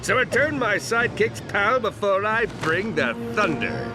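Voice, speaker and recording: A man's voice: sits high, very animated, a little slow, loud music behind the voice. A man playing a blustering, cowardly self-styled superhero in a comic video game, grandiose and melodramatic. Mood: heroic, pompous.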